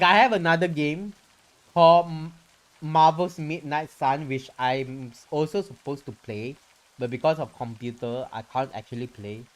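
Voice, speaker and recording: A man's voice: fairly high pitch, rough recording. A man hosting a casual game livestream, reading story text aloud dramatically and commenting informally with mild swearing. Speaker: game streamer and host. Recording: music behind the voice.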